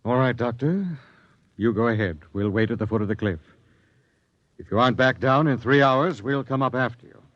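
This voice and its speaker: An actor playing a steady expedition captain who narrates in a measured, authoritative 1950s radio drama style. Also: male voice, medium pitch, no room sound, close to an everyday voice. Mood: firm, cautious. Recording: dull old radio broadcast.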